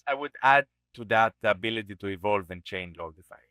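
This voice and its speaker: A man in his thirties from Israel speaks as a startup founder on a podcast, thinking aloud as he answers. His voice is low-pitched but bright and crisp, and the sound is dry and close. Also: fast, no echo. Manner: thoughtful, reflective, with frequent restarts. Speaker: startup CEO guest. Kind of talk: podcast interview.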